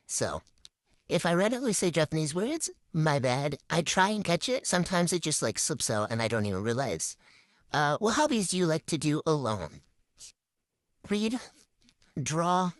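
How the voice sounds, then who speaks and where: A young woman talks through viewer questions in a relaxed, candid way, filling her pauses with ums and likes. Her voice sits on the low side but swings widely up and down. The sound is dry and close, with no room echo. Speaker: channel host. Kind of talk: casual Q&A vlog, reading questions aloud then answering.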